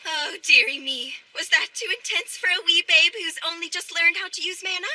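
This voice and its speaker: A woman's voice: very high voice, brisk, sharp tone, full character voice. A woman voicing a haughty, bullying schoolgirl duelist in a dubbed anime, with sneering, theatrical delivery. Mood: mocking, condescending. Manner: sneering, theatrical, mock-sweet taunts.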